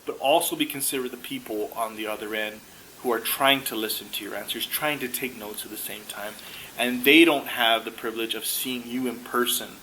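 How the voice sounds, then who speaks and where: Bright-voiced man giving career advice. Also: medium pitch, fairly even intonation, brisk, a little hiss or hum, rough recording. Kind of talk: informal advice talk.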